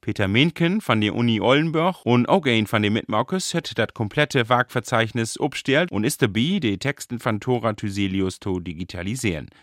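A man with a Northern German, Low German accent narrating a scripted radio feature as its reporter. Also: animated, normal speed, few pauses, a bit bright, dry recording, studio quality. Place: radio studio.

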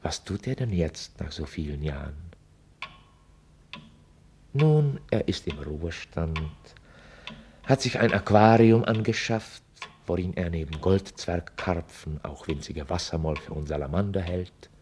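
An actor as a wry, musing male narrator of a radio play, with a husky, mellow voice. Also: low voice, a little slow, slight background noise.

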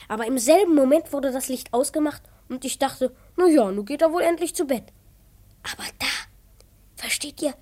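A woman's voice: on the high side, warm tone, breathy edge. A woman playing an eager, self-important twelve-year-old boy detective in a children's radio play, earnest and easily indignant. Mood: breathless suspense. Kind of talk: children's radio play acting and first-person narration.

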